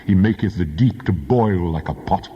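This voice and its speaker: An actor playing a mighty, wrathful God in a dramatized scripture reading, solemn and declamatory, with a husky voice. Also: male voice, low voice. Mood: grand, awe-inspiring. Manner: solemn, declamatory, grandly measured. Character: God, mighty, majestic, wrathful male deity. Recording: muffled, long cavernous reverb.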